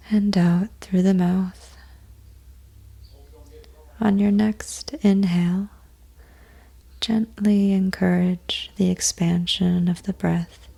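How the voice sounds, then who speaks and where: Very slow, breathy woman guiding meditation. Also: mid-range voice. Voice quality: soft, breathy, husky near-whisper. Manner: gentle, unhurried, long pauses between short phrases. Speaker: yoga nidra meditation teacher. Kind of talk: slow guided meditation, soothing instruction.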